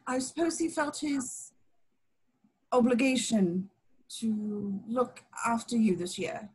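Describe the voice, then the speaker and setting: A woman plays a stern older witch who teaches at a wizard school. Her voice is high and rough-edged, and her manner is formal and proper, as in a fantasy script read. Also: animated, takes it slowly, darkish tone, full character voice, poor audio. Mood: guarded. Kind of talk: table read of a fantasy screenplay.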